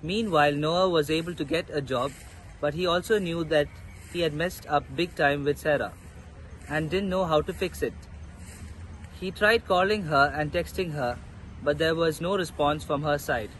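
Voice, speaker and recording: A man's voice: fairly high pitch, moderate pace, fairly bright tone, low-quality recording. A young man, reading a scripted story as narrator, recorded outdoors. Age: young adult. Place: outdoors in a park. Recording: faint outdoor background noise.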